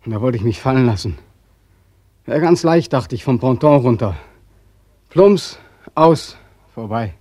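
Radio play: young homecoming soldier, anguished, emphatic, raspy-edged voice, close dry sound. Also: male voice, mid-range voice, animated, measured pace, long pauses, dark tone, no room sound. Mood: desperate. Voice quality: husky. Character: bitter, despairing young soldier home from war. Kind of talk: German radio play acting.

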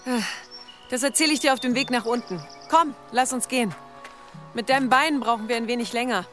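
Village healer and mother female actor, tender, slightly high pitched, audio drama over clear music. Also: a little fast, natural voice. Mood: tender, relieved. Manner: affectionate, gentle. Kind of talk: German audio drama acting.

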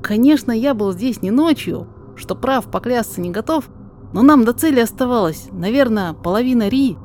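A woman's voice: fairly high pitch, lively intonation, moderate pace, darkish tone, slight background noise, pitched above the performer's own voice, lightly acted. A woman voicing a flustered, apologetic male guide, anxious and polite, in a verse audio story. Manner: agitated, deferential, emphatic. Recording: music behind the voice.

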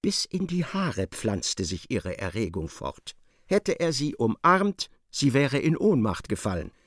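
Guy narrating a literary radio play, very lively melody, close dry sound, expressive. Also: mid-range voice, unhurried, dry recording.

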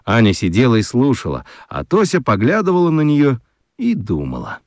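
A man narrating a children's radio play in an expressive storytelling style. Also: medium pitch, normal speed, no echo, studio quality.

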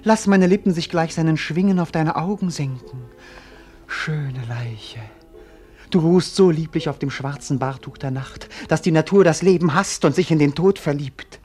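An actor playing a melancholy, romantic young prince in a classic radio play, declaiming lyrically and solemnly. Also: male voice, fairly high pitch, moderate pace, darkish tone, faint music behind, lightly acted. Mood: tender, morbid.

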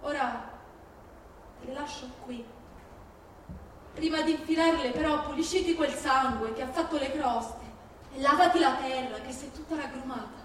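A woman's voice: high-pitched, normal speed, long pauses, lightly acted. A woman acting a fierce, grieving older Sicilian mother in an emphatic, plaintive stage monologue, with a Sicilian accent. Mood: sorrowful, tender. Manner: emphatic, plaintive, repeating phrases. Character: fierce, grieving Sicilian mother disowning her criminal son. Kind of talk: dramatic theatrical monologue on stage. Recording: very echoey hall from far, faint noise.

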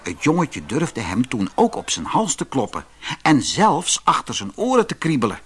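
A man with a Dutch accent from the Netherlands reading a fairy tale aloud in expressive, scripted narration, with a slightly husky voice. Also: mid-range voice, moderate pace. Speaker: audiobook narrator.

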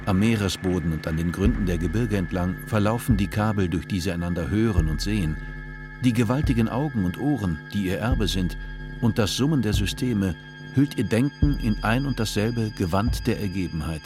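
Male narrator, low voice, measured, sober, radio drama. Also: over music. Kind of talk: scripted radio-play narration.

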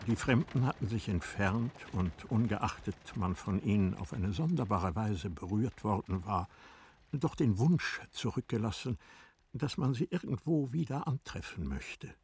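A man narrating a literary radio drama in a measured, composed manner. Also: on the low side, expressive, a little mellow, slightly rough.

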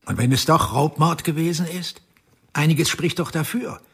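Questioning male detective, radio play, high raspy voice. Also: moderate pace, fairly bright tone. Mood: probing. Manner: questioning, probing. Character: probing male police investigator, questioning.

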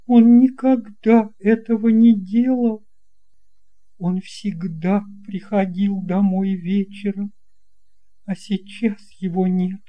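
A woman's voice: medium pitch, dull audio, voice pushed up. A woman voicing a frail, anxious old woman in a storybook tale, plaintive and fretful. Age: older. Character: frail anxious old woman, fretting over her lost cat.

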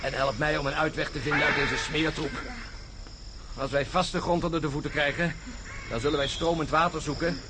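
Astronaut, male, actor-played: higher-pitched, bitter and commanding, urgent radio-drama delivery over very loud swamp insects. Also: fairly even intonation, moderate pace, noisy background, lightly acted. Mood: stern, commanding. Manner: urgent, bossy, sarcastic. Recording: loud insect and jungle sound effects.

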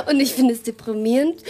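An actress playing a sociable, flirtatious woman musician in a German radio drama, talking softly and intimately. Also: high-pitched, wildly swooping pitch, normal speed. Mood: cheerful, flirtatious.

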